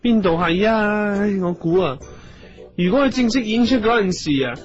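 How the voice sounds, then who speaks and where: A man's voice: high-pitched, expressive, normal speed, muffled recording. An actor playing a fussy, effeminate, clingy male talent manager in a comedic radio drama, with a Hong Kong Cantonese accent and a whiny, auntie-like manner. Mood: huffy, petulant. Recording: music behind the voice.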